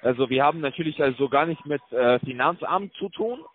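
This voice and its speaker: A man working as a call-centre broker, making a halting, repetitive, placating sales pitch over the phone. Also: animated, soft background music. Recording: tinny phone line with loud-enough background noise.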